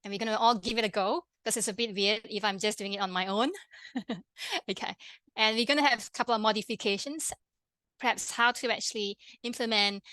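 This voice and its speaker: A woman with a Malaysian accent, a pharmacist and health coach, presenting an online workshop in a friendly, quick way with many fillers and restarts. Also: medium pitch, bright, forceful tone, dry recording. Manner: fast-talking, friendly, with frequent fillers and restarts.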